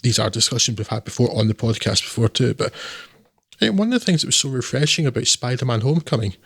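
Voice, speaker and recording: A man's voice: medium pitch, lively intonation, fast talker, creaky, a bit bright, slightly rough, no room sound, studio quality. A man co-hosting a podcast, chatting casually about films in a rambling, dismissive way with lots of fillers. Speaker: podcast co-host. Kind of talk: casual film review chat.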